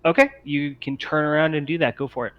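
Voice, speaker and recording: A man's voice: on the high side, fairly even intonation, fast, highs cut off. Accommodating man running a tabletop game. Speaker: game master. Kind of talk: running a tabletop role-playing game, casual.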